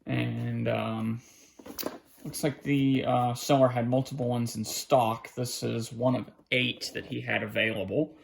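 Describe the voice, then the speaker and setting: Gravelly-voiced man casually narrating an unboxing. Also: mid-range voice, flat delivery. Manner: halting, informal, thinking aloud as he handles things. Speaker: hobbyist collector presenting an unboxing. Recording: faint background noise, clicks of handling.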